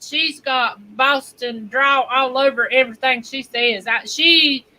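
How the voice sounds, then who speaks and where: A woman's voice: high voice, unhurried, sharp tone, a little hiss or hum, low-quality recording. A woman with a Southern US accent co-hosting a casual chat, drawing her words out and laughing often between remarks. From North Carolina. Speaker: co-host.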